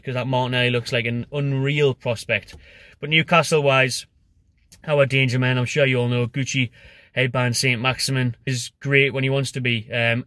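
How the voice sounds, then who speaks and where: A man's voice: mid-range voice, a bit bright, no room sound, studio quality. A young man with a Geordie accent gives a casual, chatty football preview to camera from inside a parked car. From North East England. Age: young adult. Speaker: football fan pundit. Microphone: phone camera at arm's length.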